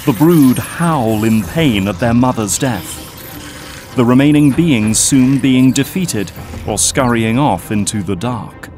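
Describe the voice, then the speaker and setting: A man giving deliberate, scripted narration for an audio drama. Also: medium pitch, lively intonation, a little mellow, faint music behind.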